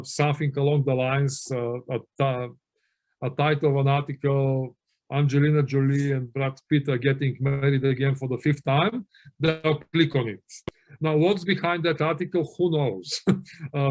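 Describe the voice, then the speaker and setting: A middle-aged man from Bulgaria giving a webinar lecture, telling anecdotes in a conversational way with many fillers and restarts. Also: fairly high pitch. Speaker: webinar presenter on auditing.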